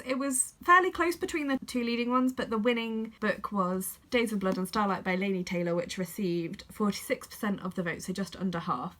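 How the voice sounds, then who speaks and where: A woman's voice: medium pitch, a bit bright. A young woman with a congested, snuffly voice from a head cold, chatting casually to camera about the books she plans to read and often stopping to correct herself. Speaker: book vlogger.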